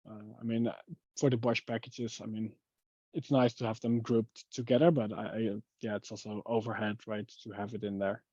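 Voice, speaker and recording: A man with a lowish voice speaks casually in a technical online meeting. His phrasing is hesitant and halting. The sound is dry and a little dull, like compressed call audio. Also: little pitch movement, darkish tone, husky, no echo. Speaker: working group member giving a technical update.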